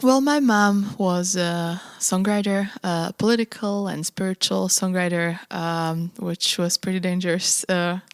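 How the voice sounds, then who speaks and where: A woman from Slovakia, a non-native English speaker, talking as a guest composer in a casual interview, thoughtful and hesitant with many pauses. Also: fairly low pitch, fairly even intonation, clean tone, dry recording, studio quality.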